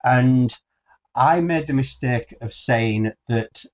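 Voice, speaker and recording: A man's voice: mid-range voice, slow pace, a little mellow. A middle-aged man with a British accent, chatting casually as a show's co-host. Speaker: co-host of a hi-fi news show. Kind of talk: casual chat. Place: small wood-panelled room, indoors.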